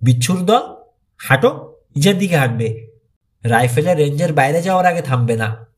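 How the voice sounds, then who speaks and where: Man playing a menacing, bossy gunman villain, animated. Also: a little high, moderate pace, natural voice. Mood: hissing, threatening. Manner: hissing, barking threats and orders. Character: menacing armed male rancher villain, sneering and bossy. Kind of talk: audiobook character voice.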